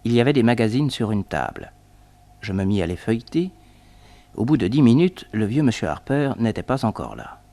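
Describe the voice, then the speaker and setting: An actor playing an observant detective who narrates his case with measured, wry musing in a 1960s French radio serial. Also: mid-range voice, very animated, warm tone, a little hiss or hum. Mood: narrating.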